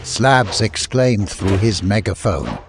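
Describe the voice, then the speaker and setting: A man's voice: on the low side, expressive, slow pace, gravelly, noisy background. A man reading scripted fiction as a narrator, in dramatic audiobook style.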